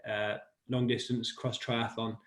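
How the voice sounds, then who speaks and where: A man's voice: medium pitch, level tone, a little slow, noisy background. A man in his forties from West London, talking casually and laughing easily as a guest in an interview about his life in sport. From West London, England. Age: 40s. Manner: chatty and self-deprecating, laughs easily. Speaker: guest, cycling commentator.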